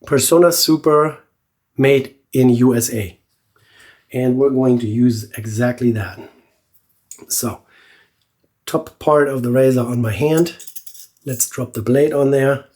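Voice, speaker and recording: A man's voice: medium pitch, slow, long pauses. Congested man, nasal and chatty. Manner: relaxed, chatty, with many fillers and restarts. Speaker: shaving channel host. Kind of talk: casual product review vlog.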